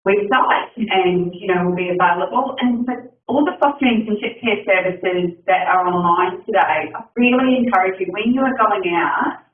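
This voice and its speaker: A woman's voice: mid-range voice, normal speed, few pauses, far from the mic. A woman with a New Zealand accent hosts an online session in a chatty, encouraging way, with plenty of ums. She sits back from the mic, and her voice bounces around an echoey room. The video-call audio is thin and tinny. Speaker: session host.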